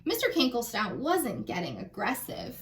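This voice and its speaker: Children's librarian, female, American. High-pitched, expressive storytime read-aloud, engaging young listeners directly. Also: moderate pace. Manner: animated, asks listeners questions.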